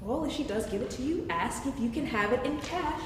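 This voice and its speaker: A distant, echo-heavy staged reading of an anxious, sarcastic man, played by a young woman. The voice is on the high side and the delivery is dramatic and quippy, with fondness under the fretting. Faint noise sits behind it. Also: expressive, a little fast. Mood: teasing, affectionate. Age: young adult. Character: Wes, anxious, sarcastic man cooped up at home, fond of his partner. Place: staged reading in a large echoey room. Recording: echoey hall, far mic, faint noise.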